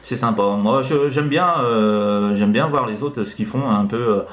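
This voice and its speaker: A man's voice: fairly low pitch, a little slow. A man chatting casually as an amateur radio operator, hesitant and rambling, often tagging on "hein". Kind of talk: casual radio contact chat. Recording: roomy, echoing room.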